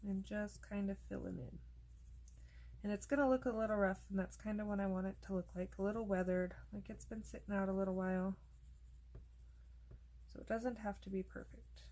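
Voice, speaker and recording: A woman host casually narrating a coloring tutorial, chatty and relaxed, thinking aloud as she works, and losing her voice. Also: mid-range voice, brisk, pauses often.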